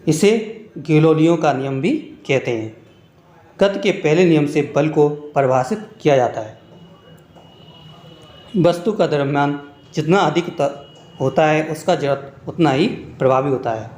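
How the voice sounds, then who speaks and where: Male exam tutor, slightly high voice, point-by-point instructional read, recorded in a very echoey room. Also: unhurried, pauses often, a little mellow. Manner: instructional, reading out points one by one. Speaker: exam-prep teacher and video host. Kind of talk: scripted lesson narration with short explanations.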